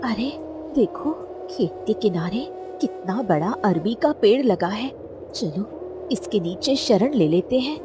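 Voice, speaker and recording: A woman's voice: mid-range voice, animated, slow, music in the background. A woman voicing a distressed, imploring poor village mother in a Hindi cartoon story, with a plaintive manner. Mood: anxious. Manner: pleading, plaintive.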